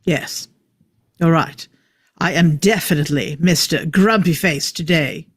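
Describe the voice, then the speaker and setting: A man's voice: fairly high pitch, measured pace, husky, no echo. Man acting clever eccentric time traveller, wry, emphatic, brooding, dry room sound, drama script read.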